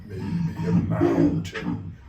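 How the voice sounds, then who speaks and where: A man voicing a huge, menacing demon through a pitch-down filter with echo. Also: completely flat delivery, very slow, vocal fry, recorded from a distance, crowd noise, heavily acted.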